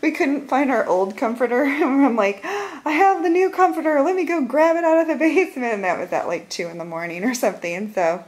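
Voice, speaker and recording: A woman's voice: high voice, animated, fairly quick, few pauses. A woman in her forties with a Michigan accent, chatting casually as she films a tour of her house, laughing often and trailing off mid-thought. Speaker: vlogger. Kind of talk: casual home tour vlog. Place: house, indoors, moving through rooms.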